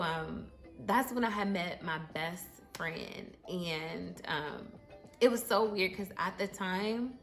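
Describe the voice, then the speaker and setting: A woman in her thirties tells a story from her school days on her vlog, loose and chatty, with plenty of "um" and "like". Her voice sits at a middle pitch, and soft music plays faintly underneath. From Arizona. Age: 30s. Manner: animated storytelling with many fillers. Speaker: vlogger.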